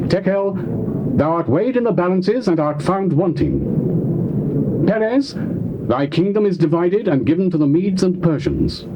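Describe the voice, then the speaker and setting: On a noisy old tape, a stern male prophet rebuking a king in a dramatized scripture. His voice is fairly high and swings widely in pitch as he declaims with solemn emphasis. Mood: ominous, proclaiming. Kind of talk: dramatized scripture, theatrical delivery.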